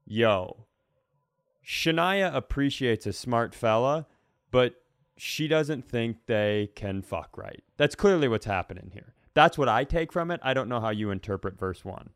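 Guy podcast cohost, super smooth clean voice, close dry sound, goes off on long jokey explanations. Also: mid-range voice, lively intonation, measured pace, dry recording. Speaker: podcast co-host. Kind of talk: casual comedic podcast chat.

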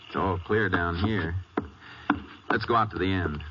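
An actor playing a calm, shrewd Texas Ranger with an American accent and laconic manner in a 1950s radio western. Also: male voice, low-pitched, unhurried. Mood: calm, reasoning. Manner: laconic, understated. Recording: noisy, dull old radio recording.

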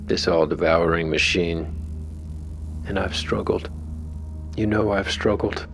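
An actor playing a troubled tech founder who leaves a halting, candid, profane private message in an intimate audio drama. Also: male voice, low voice, gravelly, full character voice. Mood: weary, sincere.

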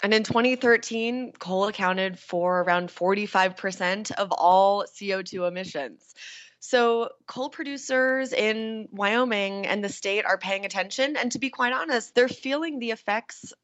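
A woman, a reporter explaining things in a measured news interview manner, stressing key words. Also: mid-range voice, fairly bright tone, muffled recording. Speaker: energy reporter.

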